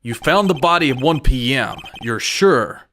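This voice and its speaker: Man as a jumpy, animated rookie lawyer. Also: mid-range voice, normal speed, slight background noise. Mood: skeptical. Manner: jumpy and hesitant, turning emphatic. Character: young, nervous rookie male defense lawyer, earnest. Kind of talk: fan dub of a courtroom video game. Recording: music over the voice.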